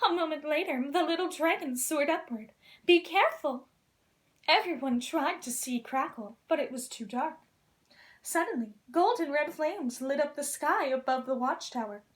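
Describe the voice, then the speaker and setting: A woman reading a children's storybook aloud in an expressive, gentle princess persona. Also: high-pitched, normal speed.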